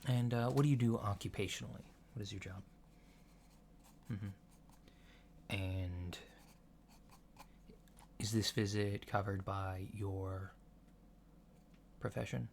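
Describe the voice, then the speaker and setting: A man playing a polite, curious alien doctor in a soft-spoken ASMR roleplay, talking in a halting, matter-of-fact way. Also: lowish voice, fairly even intonation. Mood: mild, attentive. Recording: lo-fi with clear background noise.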